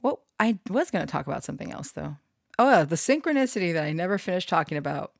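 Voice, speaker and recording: A woman's voice: on the low side, big pitch swings, fast talker, creaky, no room sound, studio quality. A woman rambling casually to her online followers, giggly and prone to swearing. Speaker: online storyteller and channeler.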